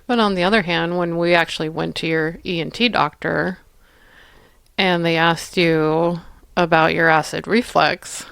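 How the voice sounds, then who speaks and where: A woman with a slightly low, gravelly yet smooth voice chats casually as a podcast co-host. She agrees easily and fills her sentences with "like". The sound is dry and close. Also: fairly even intonation, measured pace, fairly bright tone, no room sound. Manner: agreeable, lots of "like" fillers, short backchannels. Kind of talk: casual chat.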